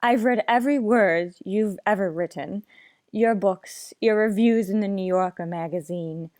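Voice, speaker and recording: A woman's voice: medium pitch, unhurried, darkish tone, dry recording, studio quality, close to an everyday voice. An actress playing a genteel, gushing literary society lady, effusive and proper, in old-time radio comedy style. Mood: earnest.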